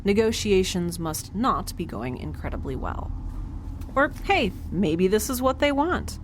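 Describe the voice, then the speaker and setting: A woman's voice: medium pitch, very animated, unhurried, a little hiss or hum. A woman playing a quirky, nervous scientist aboard a spaceship in an audio drama, rambling with sarcastic, self-deprecating humor. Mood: wry, tense. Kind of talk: conversational audio drama acting.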